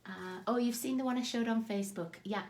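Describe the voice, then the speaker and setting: A woman's voice: a little high, brisk, a little mellow. Lady with a scratchy tickly throat, chatting through a craft live stream from her desk, greets folks by name. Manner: chatty, greets viewers by name, self-deprecating. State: scratchy sore throat, slightly raspy. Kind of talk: casual live craft tutorial chatting with viewers. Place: craft desk indoors, overhead camera on hands.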